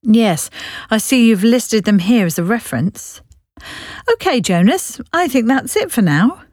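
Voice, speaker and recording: Actress as polite brisk woman interviewer, lively pitch, dry close mic. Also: no echo. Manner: brisk, polite, probing questions. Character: businesslike woman interviewer, patient. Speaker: job interviewer. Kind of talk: scripted listening dialogue.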